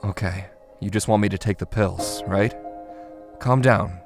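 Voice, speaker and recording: A man playing a weary, sick young guardian in a manga dub, low-key and gruffly dismissive. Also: unhurried, music in the background. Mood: weary, reassuring. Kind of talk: anime-style manga dub acting.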